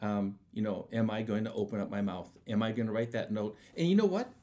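A man's voice: fairly low pitch, brisk, warm tone. Man telling casual testimony as a guest. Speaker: guest storyteller and evangelist.

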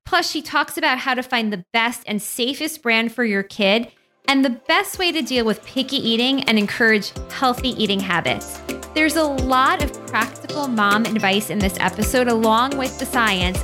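Woman hosting a podcast, high voice. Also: normal speed, over music. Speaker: podcast host. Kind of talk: scripted podcast narration and interview.

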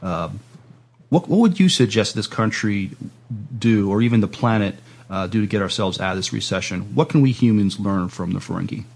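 Low-voiced man hosting, halting and polite. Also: animated, vocal fry, a little mellow. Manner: halting, polite, plays along. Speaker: podcast host, interviewer. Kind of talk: playful casual interview.

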